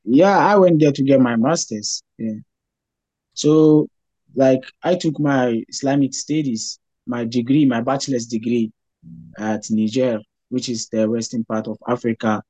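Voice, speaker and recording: A young man from Ghana with a Ghanaian accent, a graduate student answering interview questions over a video call, halting and full of fillers. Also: medium pitch, dark tone, slightly rough, no room sound, low-quality recording. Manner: halting, repeats words, many "like" fillers.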